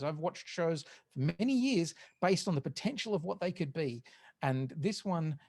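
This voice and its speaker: A podcast host with a middling pitch reviews casually among a panel. The delivery is halting and wry, peppered with swearing. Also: darkish tone.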